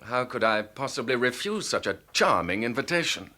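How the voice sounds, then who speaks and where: Suave man on the run, played by a male actor, guarded and ironic, mid-pitched with expressive swings. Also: normal speed, close to an everyday voice. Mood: wry, questioning. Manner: smooth, dryly ironic. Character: suave, wary male fugitive, sardonic under pressure. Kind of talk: radio drama acting. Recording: faintly noisy radio drama, vehicle sounds.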